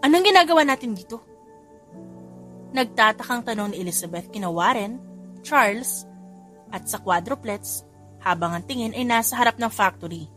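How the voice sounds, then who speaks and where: A woman's voice: medium pitch, normal speed, bright, forceful tone, soft background music. A woman narrator reading a scripted romance story for an audiobook.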